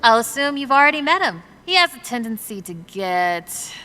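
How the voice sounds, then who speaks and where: An actress playing Kat, a brisk, dry, matter-of-fact woman who runs operations. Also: on the high side, sing-song, pushed and bright. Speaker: operations dispatcher. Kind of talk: video game cutscene voice acting.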